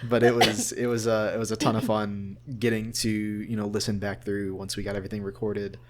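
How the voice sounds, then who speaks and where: Male voice actor, lowish pitch, earnest and conversational, an animated storyteller in an informal interview. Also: fairly quick. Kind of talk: casual anecdotal interview chat.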